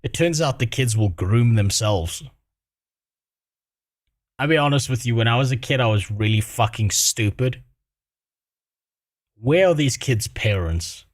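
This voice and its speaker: A man's voice: mid-range voice, lively intonation, a little slow, long pauses, dry recording, studio quality. A man co-hosting a stream, reacting bluntly and crudely, rambling with lots of fillers. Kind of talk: casual reaction chat.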